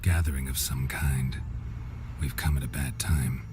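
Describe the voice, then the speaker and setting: An actor playing a stoic monster hunter in a fantasy game, gravelly, terse and deadpan. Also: male voice, rumbling low, measured pace, noisy background. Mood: terse, dry. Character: gruff, stoic male monster hunter, laconic. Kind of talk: fantasy video game acting.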